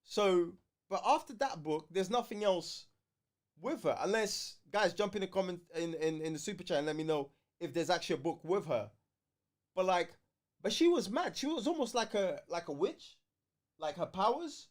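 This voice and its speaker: A man's voice: high-pitched, expressive, moderate pace. A man with a London British accent chatting casually as co-host of a fan livestream, slangy, opinionated and emphatic. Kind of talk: casual fan debate chat.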